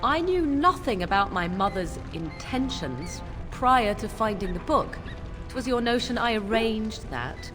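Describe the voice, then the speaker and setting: A woman's voice: fairly high pitch, animated, measured pace, over music. An actress playing a haughty, mocking swamp witch in a video game, with archaic, teasing phrasing. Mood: irritated. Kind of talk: video game character acting.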